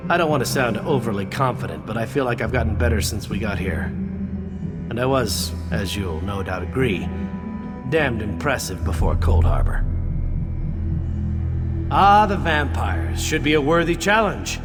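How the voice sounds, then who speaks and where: A man's voice: mid-range voice, animated, moderate pace, lightly acted. Man playing a cocky swordsman, reverberant. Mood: casual confidence. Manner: breezy, boastful, tongue-in-cheek. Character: cocky, dashing young male swordsman, breezy braggart. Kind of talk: fantasy game character acting. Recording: hall reverb with music behind.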